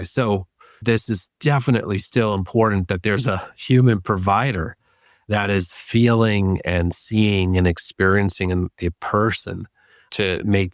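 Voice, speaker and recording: A man speaking as a podcast guest, giving measured, thoughtful answers and repeating points for emphasis. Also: deep voice, dry recording, clean studio sound. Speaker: podcast guest, psychedelic therapy clinician. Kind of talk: reflective interview talk.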